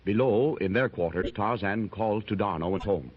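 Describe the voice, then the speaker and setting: A man's voice: on the low side, moderate pace, slightly rough. A man narrating an adventure radio serial in a declamatory, dramatic style. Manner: declamatory, rising with the action. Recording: hissy muffled 1930s radio transcription.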